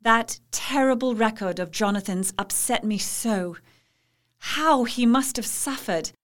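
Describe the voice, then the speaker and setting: An actress playing a devoted young Victorian wife, anxious but resolute, reading her journal earnestly and carefully in a radio play. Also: high-pitched.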